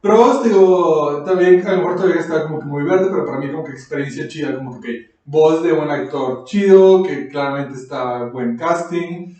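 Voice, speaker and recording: A man's voice: fairly high pitch, moderate pace, warm tone, dull audio. A man with a Mexican accent chatting casually as a podcast co-host, rambling and slangy. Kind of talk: casual chat reviewing fiction. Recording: very echoey room, distant mic.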